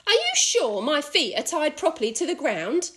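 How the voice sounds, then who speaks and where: A woman's voice: sits high, very animated, normal speed, a bit bright, pitched above the performer's own voice. A woman voicing an ugly, beastly old wife who cries out frantically in a children's storybook. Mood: silly, alarmed. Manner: exclaiming, crying out.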